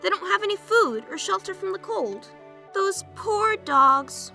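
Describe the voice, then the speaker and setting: A woman's voice: very high-pitched, animated, measured pace, gravelly, bright, forceful tone, soft background music, exaggerated voice. A woman voicing an earnest young child who cares about a dog, in a children's comic read-aloud. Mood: worried, earnest.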